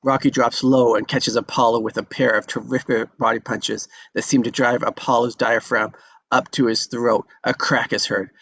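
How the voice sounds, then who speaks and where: A man's voice: mid-range voice, level tone, moderate pace, creaky, fairly bright tone. A man narrating the action of a film script at a table read.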